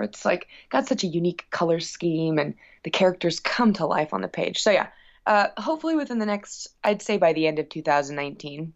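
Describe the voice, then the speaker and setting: A woman speaking as a musician guest in a casual interview, telling stories in an effusive, animated way. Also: lowish voice, creaky, lo-fi sound.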